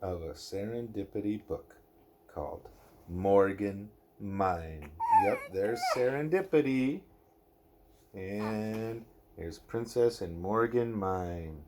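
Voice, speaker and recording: Male parent, young adult, for bedtime storybook read-aloud: unhurried, expressive, with soft casual asides to a child. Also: fairly low pitch, noisy background. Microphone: phone recording, held or propped nearby.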